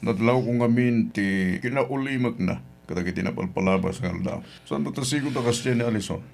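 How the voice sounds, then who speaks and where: Mid-pitched worried Filipino father played by an actor. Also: brisk, gravelly, a little mellow, close to an everyday voice. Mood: worried, suspicious. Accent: Ilocano Filipino. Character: worried, protective father of a grown daughter. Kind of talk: old-time Ilocano radio drama acting.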